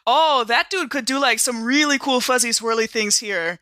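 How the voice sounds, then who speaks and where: A woman's voice: fairly high pitch, moderate pace, sharp tone, dry recording, clean studio sound. A woman playing a chatty, playful, slangy member of a space expedition, rambling breezily in a tabletop roleplay. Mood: musing. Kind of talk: improvised tabletop roleplay banter.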